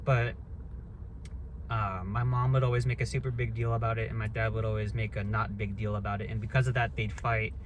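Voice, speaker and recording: A man's voice: on the low side, flat delivery, fairly quick, dull audio, noisy background. A man in his thirties talking through a casual, rambling rant, blunt and swearing freely. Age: 30s. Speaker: vlogger.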